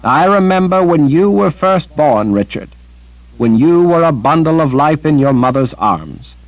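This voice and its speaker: A man voicing an older woman, a stern, sharp-tongued sorceress with a scolding, clipped manner. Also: medium pitch, wildly swooping pitch, measured pace, slightly rough, no echo, highs cut off, pitched above the performer's own voice, full character voice. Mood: fond.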